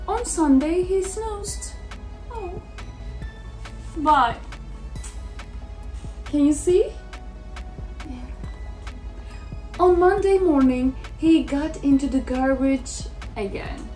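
A young woman reads a picture book aloud to children in an expressive, exaggerated manner, with short, simple asides about the pictures. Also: high-pitched, drawn-out words, long pauses, faint music behind. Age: young adult. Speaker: children's story reader.

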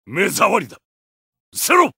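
A man's voice: sits high, huge pitch swings, fairly quick, creaky, pushed and bright, breathy edge, no room sound, clean studio sound, heavily acted. A man voicing a haughty, ruthless video game supervillain with a god complex, contemptuous, menacing and commanding. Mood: aggressive, shouting. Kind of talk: Japanese fighting game voice acting.

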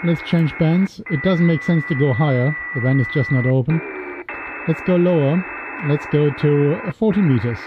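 A man in his fifties giving a casual gear demo as a hobbyist host, pausing hesitantly mid-sentence. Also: on the high side. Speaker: radio hobbyist and video host. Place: small indoor room full of radio gear. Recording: dull lo-fi sound with loud radio noise.

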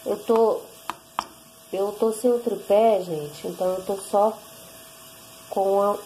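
A woman's voice: mid-range voice, fairly even intonation, slow pace, mellow, a little hiss or hum, low-quality recording. Chatty Brazilian woman cooking at home. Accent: Brazilian Portuguese. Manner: chatty, explains step by step, frequent tag questions. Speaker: home cook vlogger. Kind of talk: casual cooking tutorial. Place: home kitchen, indoors. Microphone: handheld phone camera.

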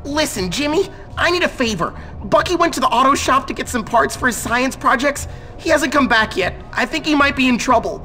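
A fearful little nerd schoolboy for a game. The voice is very high and bright, whining and pleading, with music behind. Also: male voice, lively intonation, a little fast, heavily acted. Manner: whiny, pleading. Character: timid, anxious, whiny nerdy schoolboy. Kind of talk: video game cutscene acting.

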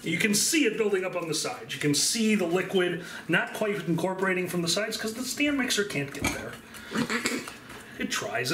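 Joking man filming in his kitchen. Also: sits high. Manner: joking, offhand, rambling with fillers. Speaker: home cooking vlogger. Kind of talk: casual cooking demonstration. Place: home kitchen, indoors. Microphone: far camera. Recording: echoey kitchen, distant mic, loud mixer noise.

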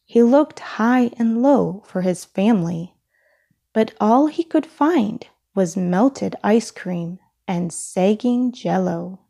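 A woman reads a children's picture book aloud with expressive care. Her pace is very unhurried, and her voice is soft and mellow with some fry in it. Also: mid-range voice. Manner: deliberate, expressive storytelling. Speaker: storybook reader.

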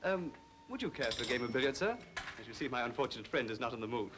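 Man playing a breezy, plummy, quick-talking captain. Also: mid-range voice, expressive, background noise, lightly acted. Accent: upper-class English. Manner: jaunty, suave, plummy. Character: breezy, flirtatious, hard-drinking ex-army captain, charming talker. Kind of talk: 1940s British film acting. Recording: old film soundtrack, music behind.

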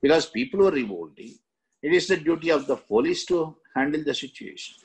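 A middle-aged man with an Indian accent and a husky voice, teaching exam questions in emphatic, choppy phrases with frequent check-in tags. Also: on the high side, animated, a little fast, rough recording. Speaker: exam coaching history teacher. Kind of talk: lecture explaining exam questions.

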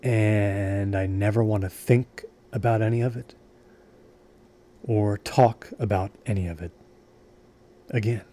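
Actor as a slow, subdued, haunted man. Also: fairly low pitch, steady tone, mellow. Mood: weary, confessional. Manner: halting, subdued, deliberate. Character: haunted, obsessive, embittered divorced man. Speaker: audio drama actor. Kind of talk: audio drama acting, brooding confessional storytelling.

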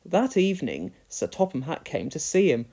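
A man's voice: on the high side, very animated, moderate pace, vocal fry, dark tone, breathy edge. A man reading a children's storybook aloud as a scripted narration. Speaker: story reader.

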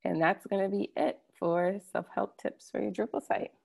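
A woman's voice: mid-range voice, steady tone, normal speed, creaky, darkish tone. A woman giving an informal online presentation, speaking conversationally and addressing her listeners directly. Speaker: conference presenter. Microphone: computer mic on a video call.

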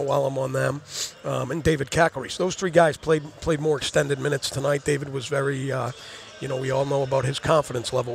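A man's voice: medium pitch, gravelly. A middle-aged man, an assistant basketball coach, giving a rambling postgame interview on a headset mic in a studio set, often repeating words as he thinks. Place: studio set in a gym, indoors.

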